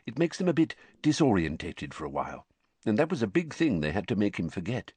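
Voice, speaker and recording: A male narrator plays a middle-aged wizard father from a storybook. He is calm and tired, speaking quietly and with measured care in a dry, close sound. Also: fairly low pitch, breathy edge, dry recording. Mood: reassuring.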